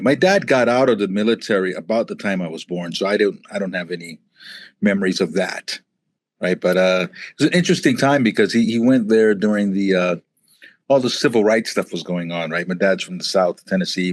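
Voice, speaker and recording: A man's voice: sits low, a little fast, dry recording. A man in his forties from Hawaii, a guest on a podcast, telling stories in an easygoing, conversational way. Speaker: guest, motivational speaker. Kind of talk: casual interview.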